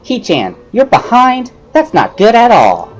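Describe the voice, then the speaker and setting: Man doing a bubbly teenage schoolgirl, high-pitched, swooping, excitable, gushing, visual novel game character, music behind. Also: unhurried, full character voice. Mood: surprised, chirpy. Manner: exclamatory, gushing, sing-song. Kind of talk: dramatic reading of a visual novel.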